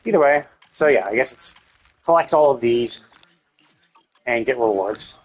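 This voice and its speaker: A man's voice: medium pitch, animated, measured pace, low-quality recording. A man streaming a game live with casual commentary, thinking aloud tentatively and correcting himself as he goes.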